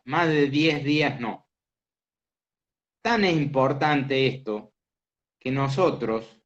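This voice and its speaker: Guy giving a med lecture, slow and deliberate, muffled lo-fi sound. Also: medium pitch. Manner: slow, explanatory, asks the class questions. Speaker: medical lecturer. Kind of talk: interactive lecture. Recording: dull lo-fi class recording.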